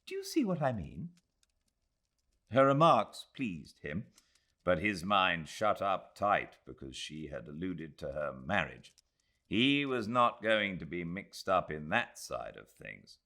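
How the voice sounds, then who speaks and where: A British man narrates classic fiction in a low-pitched voice. His reading is expressive and moves through a wide, animated range of pitch. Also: slow. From Britain. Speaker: audiobook narrator.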